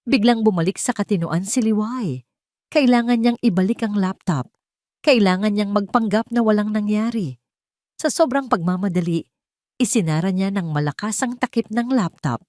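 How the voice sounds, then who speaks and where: A woman's voice: medium pitch, moderate pace, darkish tone, dry recording. Woman narrating drama, very dry close sound. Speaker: audio story narrator. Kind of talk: dramatic scripted storytelling narration.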